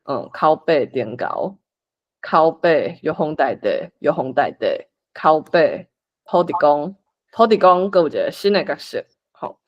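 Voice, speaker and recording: Informal Taiwanese facilitator with very lively pitch. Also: measured pace, lo-fi sound. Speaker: workshop facilitator. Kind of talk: explaining and organising a group script reading.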